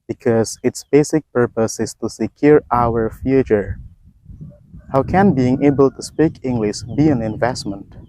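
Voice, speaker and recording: A man's voice: medium pitch, mellow, dry recording, poor audio. Formal Indonesian man giving a dry talk. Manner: formal, measured, textbook phrasing. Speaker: educator. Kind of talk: scripted talk.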